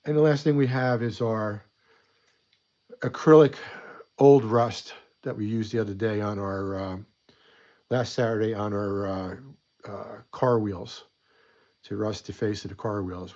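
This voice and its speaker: A man's voice: lowish voice, fairly even intonation, moderate pace, darkish tone, dull audio. A man hosting a casual hobby weathering tutorial at his desk, chatty and rambling, a bit stuffy from a coming cold. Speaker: model weathering host.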